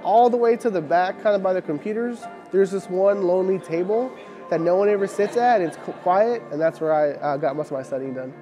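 A man's voice: high voice, fairly quick. A young man from Texas giving an interview testimonial as a law graduate. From Texas, USA. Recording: music behind the voice.

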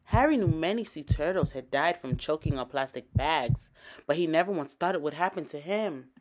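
A woman storyteller reading a children's picture book aloud with expressive, dramatic emphasis. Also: low-pitched, normal speed.

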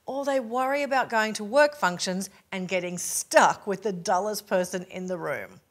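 Australian woman pitching to camera. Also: moderate pace. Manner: polished, upbeat delivery to camera. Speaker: presenter promoting her keynote talks. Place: living room set with sofa, indoors.

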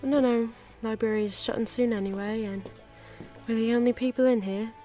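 A woman's voice: a little high, steady tone, normal speed, warm tone, soft background music, close to an everyday voice. A woman acting a sarcastic, hurt librarian in an audio drama. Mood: dry, terse. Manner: sarcastic, blunt. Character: sharp, hurt older woman librarian, former psychiatrist.

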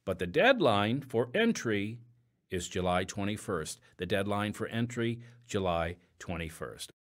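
A man's voice: lowish voice, sing-song, a little slow. A man hosting an audio drama podcast, reading announcements with casual, conversational asides. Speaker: podcast host.

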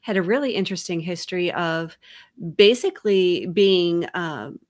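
A woman's voice: fairly low pitch, expressive. A middle-aged woman co-hosting an interview over a video call, chatty and thoughtful. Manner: chatty, thoughtful, quick asides. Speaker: podcast co-host. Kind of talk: casual interview chat.

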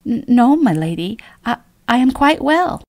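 A woman's voice: fairly high pitch, animated, measured pace, a little mellow, no echo, clean studio sound, pitched above the performer's own voice. A woman voicing a shy, humble young woman in a storybook, with a timid, hesitant, polite manner. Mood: flustered.

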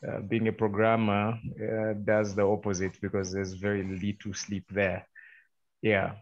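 A man from Zambia speaking on a video call in a relaxed, joking way with many fillers. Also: lowish voice, a little slow. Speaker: invited speaker. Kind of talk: online meeting talk. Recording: dull lo-fi call audio.

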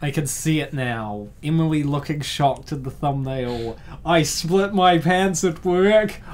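A man's voice: a little high, a little slow. A man narrating a comic dub, breaking off often to joke and laugh at the story. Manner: joking, laughs often, animated. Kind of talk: narration with joking commentary.

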